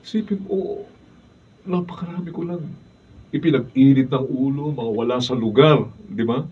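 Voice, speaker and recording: A man's voice: mid-range voice, normal speed, slightly rough, natural voice. A man playing an emotional, exclamatory hot-headed motorist in a Filipino radio drama. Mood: grateful, repentant. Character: hot-headed boastful male motorist, later grateful and repentant. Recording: distant dull behind-the-scenes video, faint noise.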